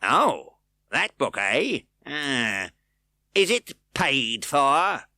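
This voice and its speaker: A man's voice: mid-range voice, big pitch swings, drawn-out words, dry recording, clean studio sound, voice pushed up, full character voice. A man reading aloud and voicing a harsh, sneering male magistrate who barks orders and insults. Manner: barking, insulting, shouting orders.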